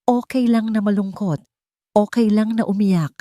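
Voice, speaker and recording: A woman voicing a gentle, wise stepmother in a drama story, speaking soothingly. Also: medium pitch, fairly even intonation, a little slow, no echo, clean studio sound, pitched above the performer's own voice, close to an everyday voice. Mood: consoling. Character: gentle, consoling young stepmother, warm and wise.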